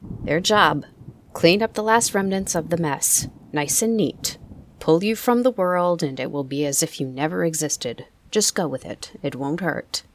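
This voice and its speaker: A woman voicing a menacing dead man in a dinner jacket, with a South African accent and a sharp, coaxing, taunting way of speaking. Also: sits low, unhurried. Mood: coaxing menace.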